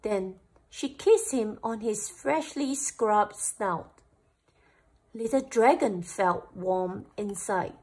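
A woman storyteller reading a picture book aloud for children, with animated, deliberate phrasing. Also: mid-range voice, slightly rough, rough recording.

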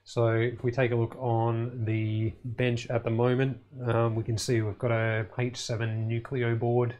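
A man in his thirties hosting an electronics channel from his home lab, talking in a relaxed, matter-of-fact way with many fillers. His voice is a little hoarse. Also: medium pitch, measured pace. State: slightly hoarse, losing his voice. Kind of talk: casual video update. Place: home electronics lab, indoors, small room.

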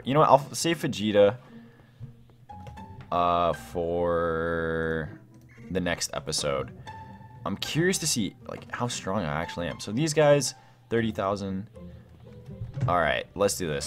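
Young man with animated, swinging pitch. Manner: excitable, slangy, exclaiming. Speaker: gaming video host. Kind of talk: casual gameplay commentary.

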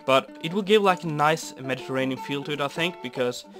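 Young man, raspy cold voice, from Norway, rambling game commentary, soft guitar music behind. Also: a little high, a little fast. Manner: rambling, easygoing, many fillers. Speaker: Minecraft builder. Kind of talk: casual gameplay commentary.

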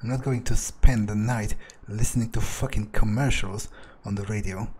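A man's voice: lowish voice, fairly even intonation, moderate pace, darkish tone, a little hiss or hum. A man roleplaying as he plays a game, thinking aloud in first person with casual swearing. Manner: musing, sweary. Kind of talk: in-character first-person musing over gameplay.

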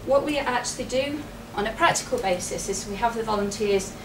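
A woman's voice: on the high side, fairly quick. A middle-aged woman giving a chatty presentation to a room, laughing often. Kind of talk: public presentation. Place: wood-panelled meeting room with projector. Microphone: far camera, no mic. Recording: echoey room, distant camera, noisy.

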